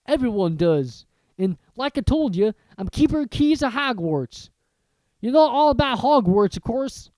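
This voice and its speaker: A reader voicing a huge, hot-tempered male giant from a storybook, who talks in a loud, gruff rural dialect. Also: medium pitch, very animated, a little slow, dry recording, pitched above the performer's own voice. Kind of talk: audiobook character reading.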